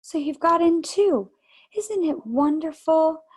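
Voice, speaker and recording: A woman playing a gushing, excited young girl. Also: extremely high pitch, slow, pitched above the performer's own voice, full character voice. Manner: breathless, gushing. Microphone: video call.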